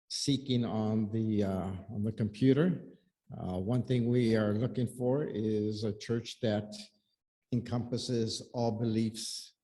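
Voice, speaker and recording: A man speaking informally and a little haltingly as a visitor introducing himself to a congregation. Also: mid-range voice, warm tone.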